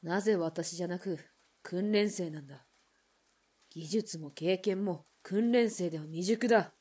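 A woman plays a hardened Russian woman soldier in a radio drama, low for a woman and dark in tone. Her voice is husky and her lines come out blunt and clipped. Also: a little slow. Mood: challenging. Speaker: former Russian imperial soldier. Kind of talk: hobby radio drama acting.

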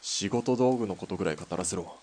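A man playing a terse, cool sniper in a Japanese audio drama, deadpan and unhurried when talking shop. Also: deep voice, natural voice. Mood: cool, absorbed.

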